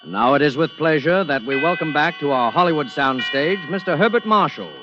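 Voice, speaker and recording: A male announcer in 1940s radio style, formal and deliberate. His voice is fairly high, with clear music around it and a dull vintage sound. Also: lively intonation.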